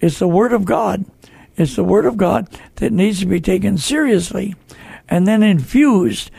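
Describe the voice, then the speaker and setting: A man's voice: sits high, creaky, warm tone, husky, no echo. An older American man hosting a talk show, earnestly exhorting listeners in a conversational preaching style with repeated phrases.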